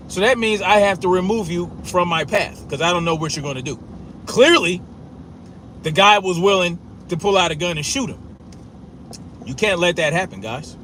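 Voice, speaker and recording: Forceful man ranting, stutter, street noise. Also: high-pitched. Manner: forceful, profane, repetitive, with a stutter. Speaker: commentator. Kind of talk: blunt opinion monologue.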